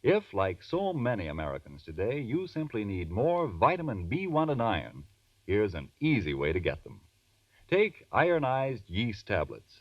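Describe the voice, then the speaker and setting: A man's voice: mid-range voice, dry recording. A man announcing in a formal, emphatic 1940s radio style, with earnest scripted salesmanship. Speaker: radio announcer and sponsor pitchman. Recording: muffled, distant vintage radio recording.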